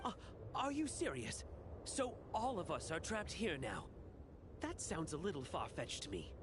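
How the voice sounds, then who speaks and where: A skeptical yet starstruck theatergoer in a video game. Clear noise sits behind the voice. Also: male voice, a little high, measured pace. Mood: disbelieving. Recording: steady background noise.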